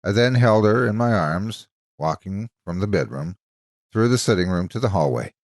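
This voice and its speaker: Deep-voiced, expressive male storyteller. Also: moderate pace, no echo, clean studio sound. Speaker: story narrator and channel host. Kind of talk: dramatic scripted story narration.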